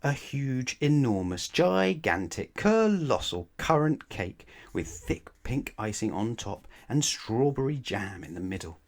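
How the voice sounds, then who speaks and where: A man's voice: mid-range voice, sing-song. A man reading a children's bedtime story aloud in a playful, emphatic way, addressing the young listener. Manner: playful, emphatic, drawn-out key words, rhetorical questions to the listener. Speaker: storybook reader.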